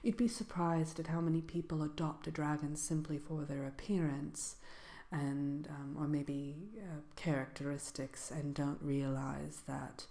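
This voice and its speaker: A woman playing a genteel sorceress presenting a seminar in a soft-spoken ASMR roleplay, speaking in a hesitant, gently formal, measured way. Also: low voice, little pitch movement. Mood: calm, instructive. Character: genteel female fairytale sorceress lecturing on magical companion animals.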